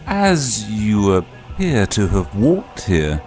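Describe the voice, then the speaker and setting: A man performs a courtly male vampire for an audio drama. His deep voice is formal and stately, lingering politely on phrases, with faint music behind it. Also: wildly swooping pitch, extremely slow, darkish tone, heavily acted. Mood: courtly, grand.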